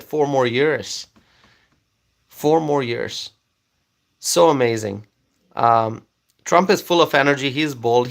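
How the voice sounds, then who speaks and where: A man's voice: mid-range voice, sharp tone. A man whose first language is Bengali, casually chatting on a livestream and reading out viewer comments, with frequent fillers and repeated words.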